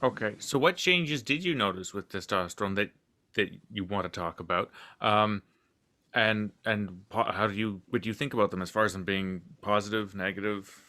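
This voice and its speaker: A man's voice: on the low side, moderate pace, pushed and bright. A man interviewing a guest, asking questions hesitantly and carefully. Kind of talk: conversational interview.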